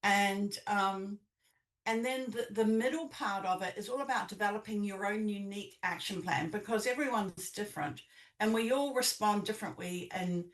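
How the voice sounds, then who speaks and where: An older woman with a New Zealand accent speaks as a guest in a conversational interview. Her pitch is average for a woman. She sounds set back from the microphone in an echoey room. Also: normal speed. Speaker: podcast guest, business coach. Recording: echoey room, distant mic.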